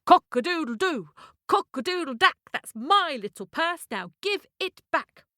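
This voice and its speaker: A plucky storybook cockerel, played by a woman, pitched very high and swooping up and down. The bird is loyal but indignant, protesting and exclaiming. The sound is dry and close. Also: big pitch swings, measured pace, sharp tone, dry recording, full character voice. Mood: defiant crowing. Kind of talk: children's storytelling character voice.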